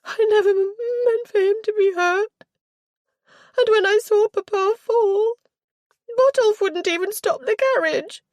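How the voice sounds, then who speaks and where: A woman voicing a petulant, self-centred young Regency heiress who talks in a high, sulky, easily wounded way. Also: moderate pace, no room sound. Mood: tearful. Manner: sulky, high-strung, rising to tearful.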